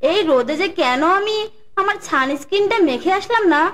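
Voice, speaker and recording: A woman's voice: very high-pitched, expressive, moderate pace, a bit bright. A woman voicing a vain young female fox in a cartoon, sassy, haughty and dismissive. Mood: complaining. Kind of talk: exaggerated cartoon voice acting.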